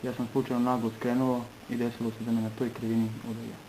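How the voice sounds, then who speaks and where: A man from Serbia giving an earnest personal testimony in short phrases, pausing between them for an interpreter. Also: medium pitch, monotone, mellow, a little hiss or hum, low-quality recording.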